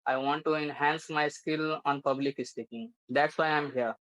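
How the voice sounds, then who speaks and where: A man from India who speaks as his language, practicing English with a tutor in earnest, halting sentences. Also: a little high, fairly even intonation, a bit bright, no room sound, low-quality recording. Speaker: English learner.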